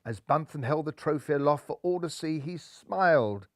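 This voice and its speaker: An older man reading a children's picture book aloud in a lively way, seated indoors with a clip-on mic. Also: fairly high pitch, normal speed, dry recording, clean studio sound. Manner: lively, does voices for characters. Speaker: storybook reader. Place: indoor room full of cuddly toys.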